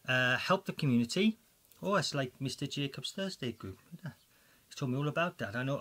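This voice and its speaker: A man with a Welsh accent presents a school quiz for children in a chatty, excitable way, full of jokey asides. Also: medium pitch. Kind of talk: playful quiz commentary for children.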